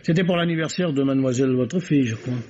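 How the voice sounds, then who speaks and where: An actor playing a shrewd police commissioner in an old radio mystery, polite, probing and drily ironic. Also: male voice, mid-range voice, fast talker, mellow, a little hiss or hum, close to an everyday voice. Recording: dull vintage radio drama recording.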